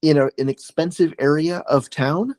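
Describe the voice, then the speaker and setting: A man's voice: a little high, moderate pace, darkish tone, dry recording. An American man in his forties from Oregon, a podcast guest chatting casually over a video call, rambling with many fillers and restarts. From Oregon, USA. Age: 40s. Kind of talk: casual conversation.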